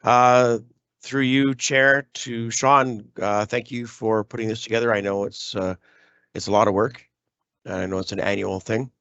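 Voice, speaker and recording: A man, a school trustee, losing his voice and clearing his throat, asking polite formal questions in a meeting. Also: fairly low pitch, fairly even intonation, moderate pace, a bit bright, dry recording. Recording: dull lo-fi meeting audio.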